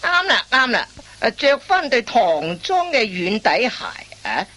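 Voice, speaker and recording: A woman playing a wily veteran master thief in a comic radio play, instructing in drawn-out phrases full of interjections. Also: on the high side, sing-song, sharp tone, heavily acted. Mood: fussy, advising.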